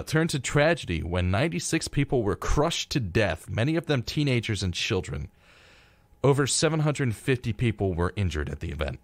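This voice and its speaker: A man's voice: fairly low pitch, dry recording. A young American man hosting a radio show in a casual, laid-back way, reading out facts and adding offhand remarks. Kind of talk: casual conversational radio talk. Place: radio studio.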